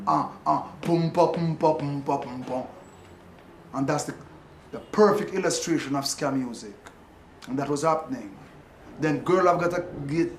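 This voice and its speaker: A man in an interview, explaining music by vocalising bass and piano parts. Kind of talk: reminiscing interview. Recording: echoey room with faint noise.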